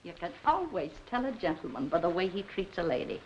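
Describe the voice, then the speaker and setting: A woman's voice: lowish voice, sing-song, fairly quick, a little mellow, slight background noise. An actress in a 1940s film playing a talkative, indignant working-class woman with colloquial grammar and a dramatic way of speaking. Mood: pleased. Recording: dull 1940s film soundtrack with music.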